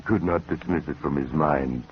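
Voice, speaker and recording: An actor playing an ominous, knowing storyteller, narrating a macabre 1950s radio mystery in a measured, sombre, formal way. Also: male voice, rumbling low. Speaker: narrator and host. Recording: dull, noisy vintage radio recording.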